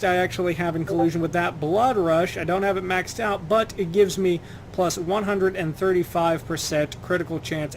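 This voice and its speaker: A young man, slightly hoarse, giving loose casual commentary over his gameplay, with some swearing. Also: fairly high pitch, a little hiss or hum, poor audio. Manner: loose, profane trash talk at enemies. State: slightly hoarse, itchy throat. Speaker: gaming channel host.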